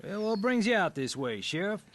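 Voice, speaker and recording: Backwoods moonshiner dad: rough, wily, Appalachian, folksy grammar, high male register, very animated. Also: moderate pace. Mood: sly, folksy. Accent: American Appalachian. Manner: folksy, drawling, ungrammatical country speech. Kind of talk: radio drama acting.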